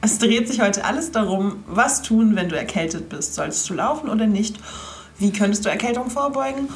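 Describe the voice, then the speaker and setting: A young woman hosts her own podcast, sounding stuffy from a head cold. Her voice sits at an ordinary middle pitch, and she talks to the listener in a cheerful, chatty way. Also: lively intonation, fairly quick. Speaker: podcast host. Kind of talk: casual solo podcast chat.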